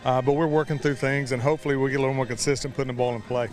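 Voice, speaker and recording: A man's voice: mid-range voice, fairly even intonation, rapid-fire, soft background music. A middle-aged American man, a baseball coach, answering interview questions in a folksy, plain-spoken way.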